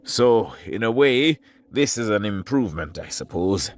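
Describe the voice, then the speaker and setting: A man voicing a weary army field surgeon who talks in a low, flat, cold voice that can turn into a vicious hiss. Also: unhurried. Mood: dry, weary.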